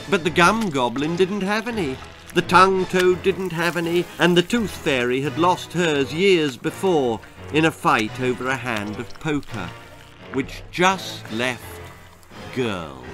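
Guy in his 60s telling a kids story, slow n theatrical, slightly high voice, bit of music. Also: a bit bright. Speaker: author reading his own stories. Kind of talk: dramatic children's storytelling. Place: small book-lined room at home.

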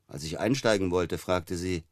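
An actor playing an evasive, defensive psychotherapist suspected of murder, speaking in a halting, measured way in a radio crime drama. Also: male voice, deep voice, no echo, clean studio sound, lightly acted. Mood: subdued, confessing.

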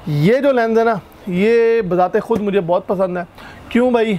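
A man with a high, hoarse voice walks through camera features in a steady, explanatory way, listing specs one after another. He sounds close and clear on a clip-on mic inside a shop. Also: moderate pace. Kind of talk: explaining product specs and prices. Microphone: lapel mic.

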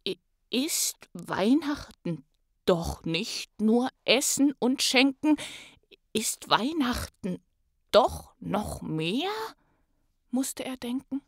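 Storybook narrator played by a woman: suspenseful, theatrical, slow-paced rhymed Christmas tale with big dynamic swings. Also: fairly high pitch, very animated, no echo, clean studio sound, exaggerated voice. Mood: astonished, emphatic. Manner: theatrical, deliberate, swinging from hushed to loud. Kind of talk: dramatic read-aloud of a rhymed children's story.

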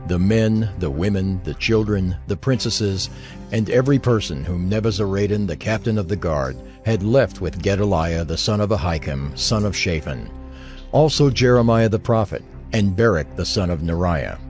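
A male narrator tells a dramatized Bible story. His voice sits low, and he reads in a formal, measured way while music plays underneath. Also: darkish tone.

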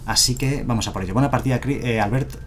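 A man's voice: mid-range voice, quick pace, a little hiss or hum. A man in his thirties with a Madrid Spanish accent, casually thinking aloud while streaming a card game on a headset mic. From Madrid, Spain. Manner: casual, thinking aloud, self-deprecating chuckles. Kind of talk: live gameplay commentary. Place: home streaming room, indoors.

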